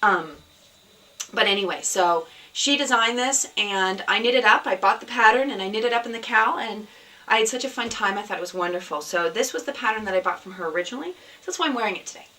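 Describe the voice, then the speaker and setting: Podcast host, woman, hoarse and scratchy from a cold, bright tone, set back from a camera mic with faint room noise. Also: medium pitch, animated, fast. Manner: rambling, many ums, soft and sad in places. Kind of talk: casual video podcast chat. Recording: somewhat distant mic, faint background noise.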